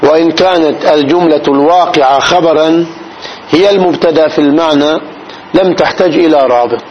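A man giving a classical grammar lesson. He explains slowly, step by step, and often asks whether his students follow. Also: on the high side. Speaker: Arabic grammar teacher. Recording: dull recording with faint noise.

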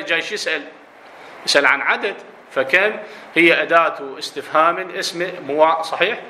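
Iraqi male teacher, bright, raised voice, quizzes his class, echoey room. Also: fairly high pitch, slight background noise. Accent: Iraqi Arabic. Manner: explanatory, asks students questions, slips into dialect. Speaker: grammar teacher. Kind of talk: classroom lesson on Arabic grammar.

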